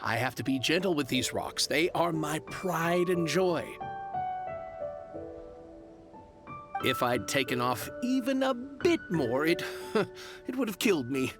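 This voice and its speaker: A fawning, flustered shopkeeper from a video game, who haggles in a slow, wheedling way. His pitch swoops up and down, and there is faint music behind him. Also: very animated. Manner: fawning, hesitant, salesman-like. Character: ingratiating male stone merchant, flustered, wheedling haggler.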